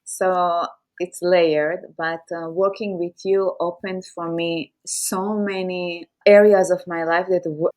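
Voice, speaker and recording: A woman's voice: fairly low pitch, a little mellow. A woman podcast host who speaks English as her third language, talking candidly and personally, sometimes repeating her words. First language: Farsi or Hebrew. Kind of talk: conversational interview.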